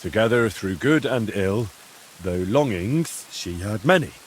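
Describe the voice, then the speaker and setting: An actor playing a kindly, patient young man in a medieval video game, gentle and slightly hesitant as he coaxes. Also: lowish voice, lively intonation, a little slow, a little hiss or hum, low-quality recording. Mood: tender, reciting. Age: young adult. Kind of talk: dramatic video game dialogue.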